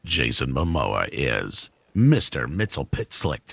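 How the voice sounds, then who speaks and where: Man putting on a slow, deep trailer announcer. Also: very animated, vocal fry, fairly bright tone, dry recording, full character voice. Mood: dramatic. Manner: slow, portentous delivery. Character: booming male movie trailer announcer.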